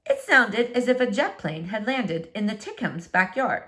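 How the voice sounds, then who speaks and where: A woman reading a children's book aloud as a storyteller, with expressive, dramatic emphasis. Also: medium pitch, moderate pace.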